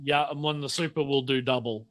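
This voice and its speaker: A man running a tabletop roleplaying game as game master, narrating off the cuff and often halting to think mid-sentence. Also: medium pitch, steady tone, crowd noise.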